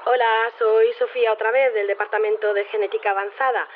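A woman's voice: a little high, flat delivery, brisk, lightly acted. A woman playing a polite, matter-of-fact geneticist leaving a phone announcement in a fiction podcast. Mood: polite, businesslike. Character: matter-of-fact female geneticist, casually recruiting for sacrifice. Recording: tinny, noisy phone message.

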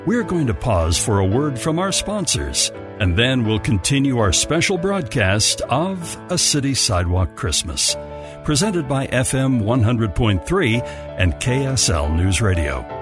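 A man's voice: medium pitch, sing-song, measured pace. A man narrating a scripted radio story as the programme's announcer. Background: music.

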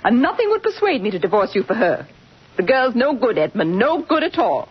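A woman acting in a 1940s radio melodrama as a high-strung, distressed woman. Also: big pitch swings, fairly quick, slightly rough, heavily acted. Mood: firm, pained. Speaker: radio drama actress. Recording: muffled old radio recording, loud music.

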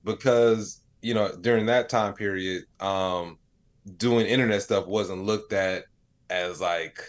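A man with a low-pitched voice talks informally as a podcast guest. He thinks his points through aloud in a conversational, reflective way, leaning on filler phrases like "you know". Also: steady tone, takes it slowly. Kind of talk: casual interview conversation.

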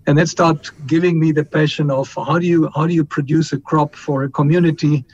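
A middle-aged man from Colombia, a company chief executive answering interview questions in a measured way, with frequent fillers and restarts. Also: fairly even intonation, darkish tone. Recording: muffled line with faint rumble.

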